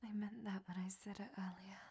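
A woman's voice: mid-range voice, fairly even intonation, brisk, warm tone, breathy edge. A woman whispering a roleplay monologue to a listener, scolding him and then turning tender and confessional.

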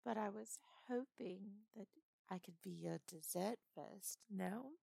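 A woman voicing a flirtatious British woman who teases and seduces a coworker, in a put-on British accent and a sultry manner with soft whispers. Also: medium pitch, takes it slowly, creaky, slightly rough, no room sound, studio quality, exaggerated voice. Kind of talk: audio roleplay.

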